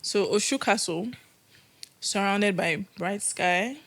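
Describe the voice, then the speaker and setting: A woman's voice: medium pitch, takes it slowly, a bit bright. Nigerian woman casually narrating a story. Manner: laughs often, reads from a script. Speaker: podcast host telling the story.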